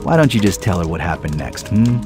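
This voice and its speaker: Sardonic cartoon sabertooth, lively inflection. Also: male voice, deep voice, a little fast, over music. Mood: dry, impatient. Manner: dry, self-assured, exasperated. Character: proud, dry, sardonic male cartoon saber-toothed tiger. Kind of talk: animated game cutscene storytelling.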